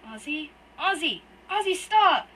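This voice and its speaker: A woman's voice: high voice, big pitch swings, a little slow, fairly bright tone, distant mic, a little hiss or hum, pitched above the performer's own voice, heavily acted. A woman voicing a timid young furry boy who cries out in betrayal. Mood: frantic. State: crying.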